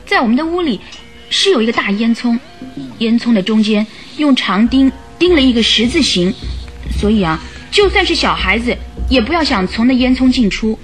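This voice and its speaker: A woman's voice: fairly high pitch, animated, moderate pace, faint music behind, close to an everyday voice. An actress in a radio drama playing an earnest, troubled young woman who gives careful, emotive accounts. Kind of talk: old Chinese radio drama acting.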